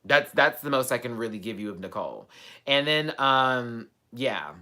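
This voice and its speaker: A man from Texas hosts a live chat and runs through reality TV contestants with sassy, profane gossip. His voice is bright and cutting, at a middling pitch. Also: lively intonation, moderate pace. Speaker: host.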